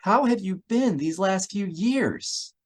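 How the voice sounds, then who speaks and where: A man voicing an effusive, excitable woman in an audiobook, gushing as he talks. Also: high voice, slow, voice pushed up. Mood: excited, delighted. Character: effusive, bubbly, excitable woman greeting people.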